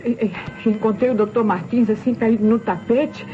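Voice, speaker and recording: A woman's voice: fairly high pitch, fairly even intonation, moderate pace, natural voice. A woman playing a nervous, deferential housemaid in an old radio mystery, stammering and repeating herself with a Brazilian accent. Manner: stammering, repetitive, servile. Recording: muffled old radio recording, music.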